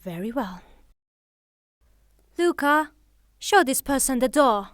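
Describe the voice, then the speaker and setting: An actress playing a proud, passionate young widow in a theatrical audio drama, haughty and dramatic in manner. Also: female voice, sits high, expressive, slow, no room sound, clean studio sound. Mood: indignant.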